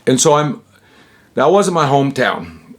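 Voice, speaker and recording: A man's voice: medium pitch, very animated, unhurried. Man, 60s, from Utah. Rambling vlogger-storyteller, self-interrupting, chuckly, slangy. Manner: tosses in slangy asides.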